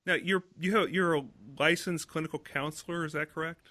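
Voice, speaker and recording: A man's voice: a little high, steady tone, fairly quick, pushed and bright, dry recording. A man hosting a roundtable discussion, putting questions to his guests in a casual way with fillers. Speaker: podcast host, moderator.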